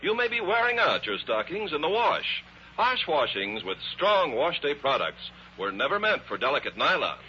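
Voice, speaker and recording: A man's voice: a little high, big pitch swings, normal speed, sharp tone. A man announcing from a script on a vintage radio show. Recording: muffled vintage radio, faint hiss.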